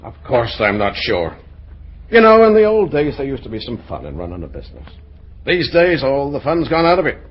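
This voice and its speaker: An actor playing a gruff, blunt, middle-aged businessman father with a pompous, blustering manner in an old radio drama. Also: mid-range voice, sing-song, a little fast, low-quality recording. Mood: grumbling, disapproving. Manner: blustering, pompous, hesitating with ums. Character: gruff, blunt older father and plant owner, disapproving.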